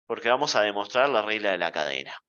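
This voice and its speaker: A man giving a university math lecture in a playful, deliberate way, checking in with the class often. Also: on the low side, sing-song, bright, forceful tone, smooth, dull audio.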